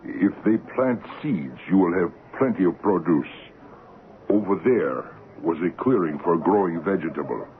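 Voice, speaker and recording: An actor playing a courteous, patient, quietly ominous African trading-post assistant in a radio drama, speaking measured, broken English. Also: male voice, sits low, animated. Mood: patient, solemn. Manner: measured, deferential, foreign-sounding broken English. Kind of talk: 1970s radio drama acting. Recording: muffled, noisy 1970s AM radio recording.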